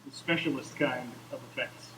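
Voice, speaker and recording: A man's voice: medium pitch, fairly even intonation, normal speed, sharp tone, recorded from a distance. A man co-hosting a livestream with casual, wry, joking banter and repetitive clowning, on noisy audio. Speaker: co-host of a live game preview stream.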